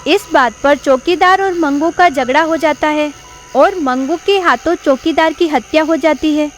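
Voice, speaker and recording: A woman giving scripted narration for an animated horror story. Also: high voice, unhurried.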